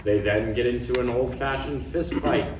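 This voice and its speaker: A man's voice: medium pitch, moderate pace. A man narrating stage directions from a script at a table read. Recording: echoey room, distant mic, audience noise.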